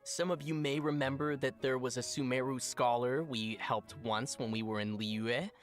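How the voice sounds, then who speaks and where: A man's voice: mid-range voice, fairly even intonation, moderate pace, faint music behind. A man co-hosting a game update program, explaining events in a friendly, chatty scripted way. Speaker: program co-host.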